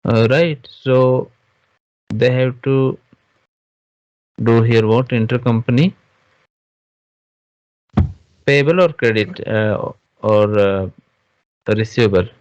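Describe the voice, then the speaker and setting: A man teaching software step by step in an informal screen-share tutorial, halting and often checking understanding with questions. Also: medium pitch, clean tone, dry recording. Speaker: SAP software trainer. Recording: dull, lo-fi online class audio.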